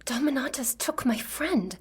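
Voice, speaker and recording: A fairly high, husky female mage played by an actress speaks with earnest desperation. She is a righteous figure in a fantasy ASMR roleplay, breathy and dramatic, weighed down with anguish. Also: lively intonation, unhurried, full character voice. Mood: distraught, pleading. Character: desperate, righteous mage pleading to rescue a captured friend.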